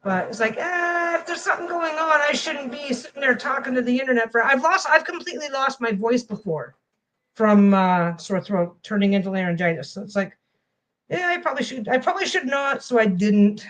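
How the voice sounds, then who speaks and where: A woman's voice: high-pitched, a little fast, fairly bright tone, low-quality recording. A woman vlogging casually as she sews a quilt panel at home, rambling and often restarting her phrases. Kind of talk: casual chat while sewing.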